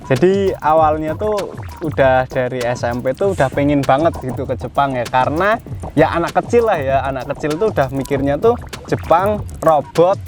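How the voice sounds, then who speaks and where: A young man in his twenties tells his story in a friendly, chatty way, with a Central Javanese accent. His voice sits a little high for a man, and music runs clearly underneath. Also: lively intonation, moderate pace. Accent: Central Javanese, mixed ngapak. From Batang, Central Java, Indonesia. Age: 20s. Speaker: guest, welder working in Japan. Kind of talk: casual interview answers.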